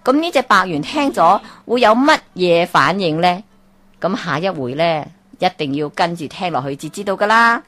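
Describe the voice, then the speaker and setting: A woman telling a folk tale as a storyteller, with lively exclamations and questions to the listener. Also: fairly low pitch, moderate pace. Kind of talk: Cantonese folk tale narration.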